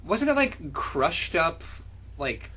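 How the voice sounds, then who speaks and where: A man's voice: a little high, big pitch swings, slow, pushed and bright, breathy edge. A man doing a casual comic reading of fanfiction as host, breaking off into incredulous, profane banter.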